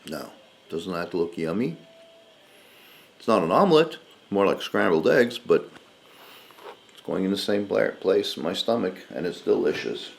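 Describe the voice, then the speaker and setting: A man's voice: deep voice, creaky. A man from Canada talking casually as an outdoor vlog host, rambling in a hesitant, folksy way. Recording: roomy, distant mic, faint noise.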